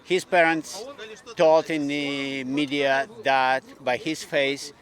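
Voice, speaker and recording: A man giving his view in an unscripted street interview, speaking haltingly with grammar. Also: mid-range voice, level tone, fairly bright tone. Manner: halting, non-native grammar. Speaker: rally participant giving an opinion. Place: outdoors at a city rally in Canada.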